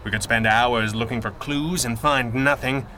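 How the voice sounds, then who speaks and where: A man's voice: medium pitch, fairly even intonation, normal speed, bright, forceful tone, a little hiss or hum, lightly acted. A man playing a guilt-ridden masked crime fighter in a 1930s-style radio adventure, speaking with formal, earnest, dramatic diction. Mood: grave, resigned.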